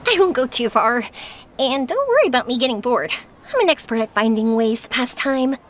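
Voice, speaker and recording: Casting: upbeat young woman for anime game; high voice, lively swings, curious, chatty, easygoing. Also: fairly quick, slight background noise. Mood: cheerful. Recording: music behind the voice.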